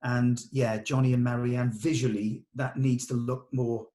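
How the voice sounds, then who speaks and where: A man's voice: medium pitch, little pitch movement, a little slow, warm tone. A man talking casually as a director in a production meeting, explaining things in a considerate, hedging way. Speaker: film director leading a table read.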